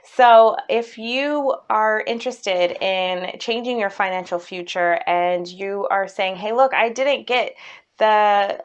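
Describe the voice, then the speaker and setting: A woman with a mid-pitched voice gives a personal, promotional talk as a chief executive. She speaks earnestly and haltingly, leaning on key words as she explains herself. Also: fairly bright tone, smooth, highs cut off. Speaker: company CEO.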